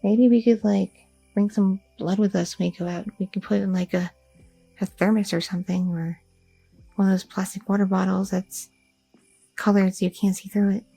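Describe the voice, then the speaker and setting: A young woman playing a loving, chatty goth girlfriend in an ASMR roleplay, talking softly and intimately with lots of fillers, close to the mic. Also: medium pitch, fairly even intonation, moderate pace, vocal fry, husky, no room sound, soft background music, rough recording. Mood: tender, candid. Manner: soft, intimate, rambling with many fillers.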